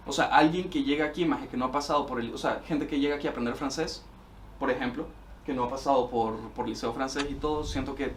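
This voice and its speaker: A man's voice: medium pitch, distant mic, a little hiss or hum. A young man from El Salvador with a Salvadoran accent, chatting casually and rambling in slang during an interview. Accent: Salvadoran Spanish. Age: young adult. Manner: relaxed, slangy, rambling with fillers.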